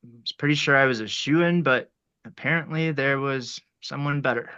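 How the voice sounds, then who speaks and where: An actor playing an earnest, awkward young substitute teacher in a table read, hesitant and flustered, on a lo-fi recording. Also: male voice, medium pitch, normal speed, bright, forceful tone, clear, resonant tone, close to an everyday voice. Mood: dry.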